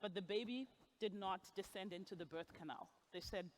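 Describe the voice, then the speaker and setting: Woman, 40s, from Zimbabwe, gala speaker, personal story, mid-pitched, wry asides. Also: a little fast, a little hiss or hum. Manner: warm humor, laughing at her own asides. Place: gala event hall, on stage.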